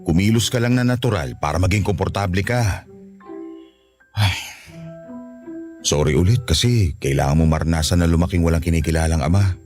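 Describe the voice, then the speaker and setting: A man's voice: low-pitched, fairly quick, pauses often, darkish tone, soft background music, lightly acted. An actor playing a remorseful, loving Filipino father in an earnest radio drama.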